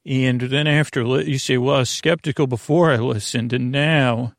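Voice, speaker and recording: Creaky-voiced man rambling gently. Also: medium pitch, fairly even intonation, dry recording, studio quality. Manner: meandering, tangential, halting; soothing, gentle. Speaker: podcast host. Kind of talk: rambling bedtime podcast monologue.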